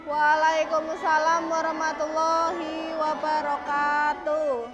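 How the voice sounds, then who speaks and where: A woman's voice: high voice, monotone, slow pace, dull audio, loud music behind the voice. A woman working as a primary school teacher, giving classroom instructions and prompting pupils with repeated questions and directions.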